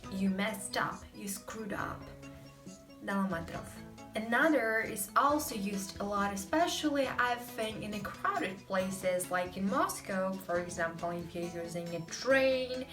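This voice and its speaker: A woman's voice: medium pitch, animated, unhurried, roomy sound, far from the mic, over music. A young woman with a Russian accent, hosting a casual language lesson, explaining chattily with many fillers. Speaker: host.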